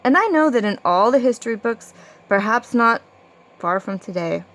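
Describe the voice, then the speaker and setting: A woman's voice: on the high side, lively intonation, moderate pace, fairly bright tone. A woman reading her own rhyming verse aloud like a storybook tale, with a rhythmic, theatrical storytelling delivery.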